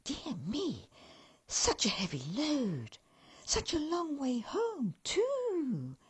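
A woman voicing two tiny, cheery male elf brothers who talk in squeaky, excitable, condescending tones. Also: drawn-out words, heavily acted. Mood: pitying.

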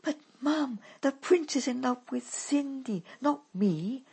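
A woman's voice: high-pitched, animated, takes it slowly, creaky, darkish tone, husky, voice pushed up, heavily acted. A woman reading aloud, voicing a timid teenage girl who stammers and sounds tearful. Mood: bewildered.

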